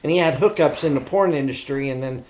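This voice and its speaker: A middle-aged man co-hosting a podcast, telling stories about pop culture in a blunt, animated, slightly crude casual way. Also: fairly high pitch, quick pace, rough recording. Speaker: podcast co-host.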